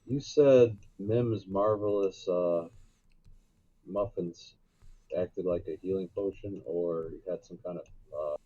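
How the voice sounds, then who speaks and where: On a slightly dull microphone, a man speaks in a very low, husky voice. He chats casually as a player in a tabletop game. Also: slow, dark tone.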